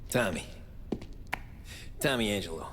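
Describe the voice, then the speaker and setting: An actor playing a polite, determined young American cab driver in a video game drama, respectful and understated. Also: male voice, lowish voice, expressive, unhurried, fairly bright tone. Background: music.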